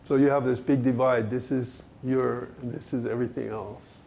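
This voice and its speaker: A man from Hawaii talks through ideas at a workshop in a measured, thoughtful way, now and then with a soft laugh. His voice is gravelly, with a dark, mellow tone. A faint hiss of noise sits under the recording. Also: mid-range voice, fairly even intonation. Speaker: workshop presenter. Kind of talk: discussion at a language workshop.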